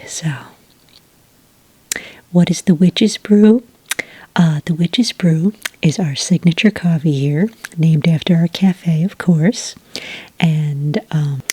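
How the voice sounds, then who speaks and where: A woman playing a friendly, helpful witch who serves at a magical cafe, speaking in a soft, breathy whisper in a patient ASMR roleplay style. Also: on the low side, dry recording. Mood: helpful, gentle. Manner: patient, gentle, explanatory. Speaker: cafe server taking orders.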